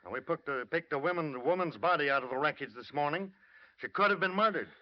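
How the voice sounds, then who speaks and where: An actor playing a blunt, insistent American police lieutenant in old-time radio drama style. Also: male voice, on the high side, lively intonation, quick pace, lightly acted. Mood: firm, pressing. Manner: insistent, terse, hard-nosed. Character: blunt, pressing male police lieutenant questioning a witness. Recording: muffled old radio broadcast recording.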